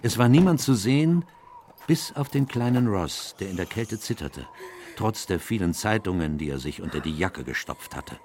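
An actor playing a male detective's companion, narrating a radio play in measured, atmospheric literary style. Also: on the low side, animated, darkish tone, slight background noise, lightly acted. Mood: grave, atmospheric.

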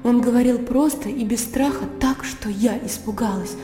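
An actress playing a spirited young country gentlewoman in a radio play, telling things in a vivid, artless, conversational way. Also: a little high, moderate pace, lightly acted. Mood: vivid, earnest. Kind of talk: radio play acting, animated storytelling. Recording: echoey reverberant studio, music behind. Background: music.

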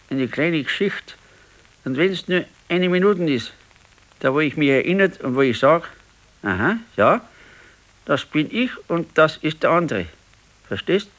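An actor playing a brooding, stubborn old working-class pensioner and husband with a Bavarian accent in a radio play. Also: male voice, a little high, rough recording. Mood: wistful insistence. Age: older. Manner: slow, ruminating, stubborn. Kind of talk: 1970s naturalistic dialect radio play.

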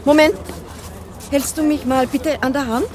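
An actress playing a prim, practical wife in a radio play, brisk and matter-of-fact and fussy over details. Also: female voice, high voice, fairly even intonation, darkish tone. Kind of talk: German radio drama acting.

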